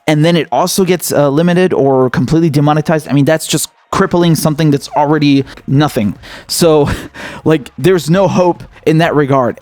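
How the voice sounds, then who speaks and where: A man's voice: fairly high pitch, a little mellow, no room sound. A man from Syria who hosts an independent political channel, talking casually and earnestly as he thanks his viewers. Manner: earnest and grateful, casual slang. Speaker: independent political YouTube host.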